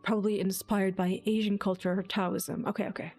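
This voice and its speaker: A woman's voice: medium pitch, little pitch movement, brisk, darkish tone. Casual woman streamer over faint music. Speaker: game streamer. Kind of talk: casual playthrough commentary, reading and reacting.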